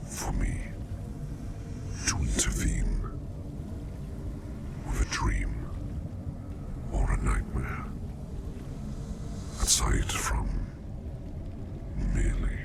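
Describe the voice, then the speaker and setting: A man playing a mysterious, formal plague doctor in an ASMR roleplay, whispering in slow, archaic phrases with a breathy, gravelly voice. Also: rumbling low, very noisy, full character voice. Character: mysterious plague doctor hypnotist visiting a dream, formal, protective.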